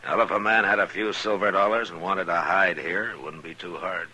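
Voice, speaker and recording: An actor playing a steady, firm frontier marshal, with an American accent and a measured, authoritative delivery in a western radio drama. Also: male voice, sits low, slightly rough, full character voice. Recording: noisy vintage radio recording.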